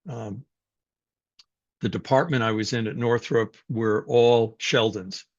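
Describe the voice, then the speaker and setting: An older man from New York City, speaking as a guest and telling his life story in a reflective, unhurried way with frequent fillers. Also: medium pitch, fairly even intonation, dry recording. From New York City, USA. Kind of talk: personal life story, conversational talk. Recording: dull, dry sound.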